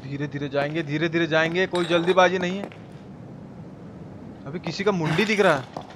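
High-pitched Bihari-accented man streaming. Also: fairly even intonation, moderate pace, noisy background. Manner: slangy, cursing, exclamatory, repeats himself. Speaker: live streamer. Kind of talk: casual gameplay commentary with chat replies. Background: game music.

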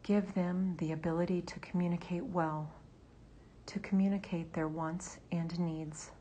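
A woman leading a guided meditation in a soft, breathy near-whisper. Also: on the low side, fairly even intonation, measured pace, slight background noise.

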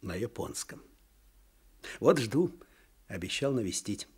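An actor playing a weary, sardonic older provincial clerk in a radio drama, with a husky voice and folksy, wry speech. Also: male voice, lowish voice, sing-song, takes it slowly, full character voice. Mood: fond, modest. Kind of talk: Soviet radio drama acting.

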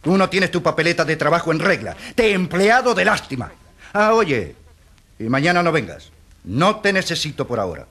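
An actor playing a callous, husky-voiced boss who browbeats a poor labourer, in a radio drama. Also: male voice, a little high, big pitch swings, normal speed, a bit bright, exaggerated voice. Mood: dismissive. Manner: scornful, browbeating, insulting. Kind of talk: Spanish-language radio drama acting.

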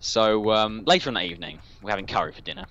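A young man with a southern English accent rambles through a casual story. His voice is fairly low but bright, and he talks quickly and chattily. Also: expressive, highs cut off. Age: young adult. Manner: digressive, chatty. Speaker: guest on a gaming video.

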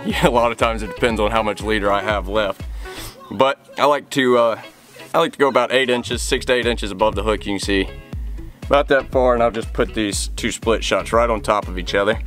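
Man, 30s, low voice, easygoing rambler, outdoors, faint music under. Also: fairly bright tone. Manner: easygoing, rambling with many fillers. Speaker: fishing guide and channel host. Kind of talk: casual tips vlog. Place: outdoors by a pickup truck bed.

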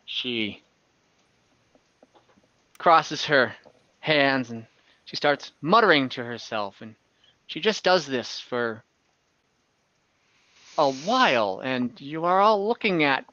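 A man running an online tabletop fantasy game as game master, narrating off the cuff in halting fragments. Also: medium pitch, lively intonation, pushed and bright, no room sound. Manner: halting, pieces scenes together in fragments. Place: indoors at home, on a group video call. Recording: slightly dull video-call audio.

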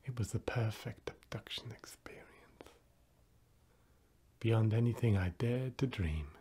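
A young man playing an earnest, wistful satisfied client in a parody promo testimonial, speaking in a hushed, breathy ASMR style. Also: fairly low pitch, a little slow. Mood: wistful, grateful.

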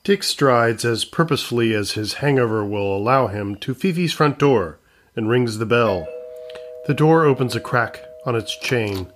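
A man's voice: mid-range voice, expressive, normal speed, a bit bright. A man reading scripted narration for an audio comedy, describing scenes as the narrator.

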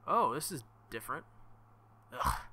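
A man's voice: medium pitch, very animated, measured pace, pushed and bright, husky. A man reading a visual novel aloud as a let's-play host, breaking into jokey, exclamatory asides.

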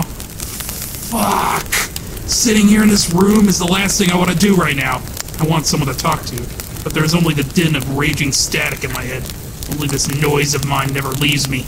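Man acting high-voiced brooding, unstable young killer. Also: very noisy, natural voice. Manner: brooding monologue, intense outbursts. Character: despairing, unstable, self-pitying young male killer. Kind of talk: dramatic comic-book dub. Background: music under the voice.

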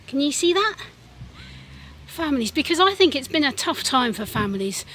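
A woman's voice: sits high, fairly bright tone, a little hiss or hum, rough recording. A middle-aged woman presenting informally to children outdoors, speaking to a camera at arm's length. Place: outdoors in a church car park.

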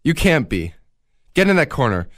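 A man's voice: mid-range voice, big pitch swings, normal speed, no echo, clean studio sound, natural voice. An actor playing a frightened young murderer in a radio drama, stammering as he argues back. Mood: defiant. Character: Mark, panicked young male murderer.

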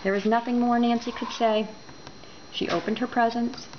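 A woman reading a children's book aloud indoors, expressive and deliberate. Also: on the high side. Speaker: storyteller. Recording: dull home recording with background noise.

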